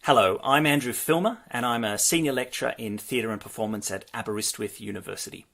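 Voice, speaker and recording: A man from Australia, a theatre lecturer, giving a measured, formal self-introduction as a festival curator. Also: medium pitch, gravelly, a bit bright.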